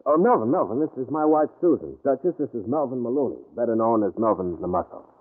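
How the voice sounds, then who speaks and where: An actor playing an easygoing amateur sleuth who probes with casual, hesitating questions in an old-time radio crime drama. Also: male voice, mid-range voice, expressive, fast talker, natural voice. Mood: friendly. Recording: muffled, narrow-band vintage radio recording.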